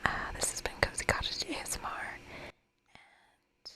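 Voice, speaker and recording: A woman whispering in a breathy, gentle, reassuring and chatty way as she hosts an ASMR game playthrough. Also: moderate pace. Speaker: host of an ASMR gaming channel.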